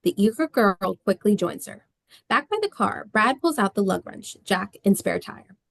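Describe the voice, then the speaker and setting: A woman's voice: mid-range voice, expressive, moderate pace, vocal fry, a bit bright, no room sound. A woman reading stage directions as scripted narration at a table read.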